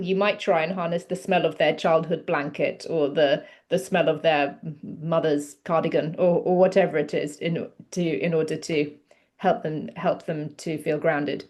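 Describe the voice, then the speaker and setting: A woman with a fairly low voice asks an interview guest questions as a psychotherapist. She searches for her words and restarts midway through. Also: fairly even intonation, normal speed, darkish tone.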